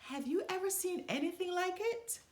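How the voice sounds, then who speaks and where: A woman's voice: very high voice, animated, normal speed, slight background noise, pitched above the performer's own voice. A woman voicing joking crows from a children's storybook, amused and teasing. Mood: amazed.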